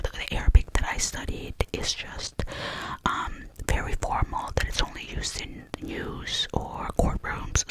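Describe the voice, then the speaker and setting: A young woman from Albania, whose first language is Albanian, whispers through a rambling ASMR question-and-answer session full of fillers. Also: low-quality recording. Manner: soft, rambling and digressive, with many fillers. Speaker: ASMR creator.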